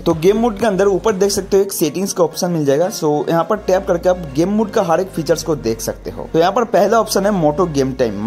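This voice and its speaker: A man's voice: fairly high pitch, a little mellow. A man narrating a scripted tutorial as a gaming channel host, explaining step by step and addressing viewers directly.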